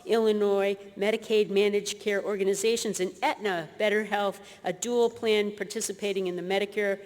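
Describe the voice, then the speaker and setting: A woman presenting an award and reading the citation in a formal, deliberate way at a conference. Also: mid-range voice, fairly even intonation, a little mellow. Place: large conference hall. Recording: hall reverb with crowd.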